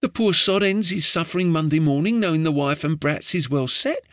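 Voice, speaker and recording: A man's voice: on the high side, expressive, a little fast, a bit bright, dry recording, studio quality, voice pushed up. A man voicing a chatty, slangy, streetwise young boy. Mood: eager.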